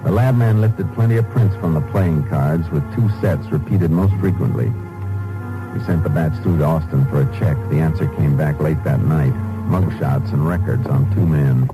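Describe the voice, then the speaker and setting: An American actor playing a shrewd, determined, laconic Texas Ranger in a 1950s radio drama, clipped and matter-of-fact. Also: male voice, rumbling low, moderate pace, over music. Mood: narrating evenly. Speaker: lead character and narrator. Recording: noisy old 1950s radio recording.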